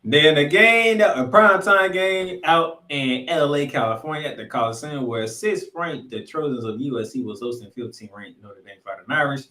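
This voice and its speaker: A man's voice: fairly high pitch, moderate pace, few pauses, pushed and bright. Echoey male sports podcast host. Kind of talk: excitable college football recap commentary. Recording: echoey room, music underneath.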